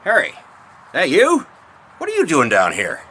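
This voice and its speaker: An evasive businessman in a radio mystery. His voice is sharp and highly animated, halting one moment and blustering the next, with faint noise on the recording. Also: full character voice. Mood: uneasy. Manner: halting, defensive, blustering when challenged. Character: evasive, indignant businessman, a husband under suspicion. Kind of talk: radio mystery drama acting. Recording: noisy radio drama recording.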